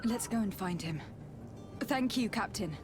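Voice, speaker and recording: A woman's voice: mid-range voice, expressive, unhurried, lightly acted. An actress as a composed noblewoman, music behind. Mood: resolute. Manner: polite, formal, composed. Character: composed young noblewoman envoy, courteous and determined. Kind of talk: video game cutscene acting.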